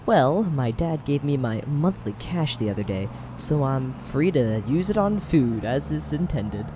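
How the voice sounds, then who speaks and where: A man's voice: medium pitch, big pitch swings, a little slow, noisy background. A man playing an easygoing young college student who jokes around in a laid-back way in an audio roleplay. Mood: joking, laid-back.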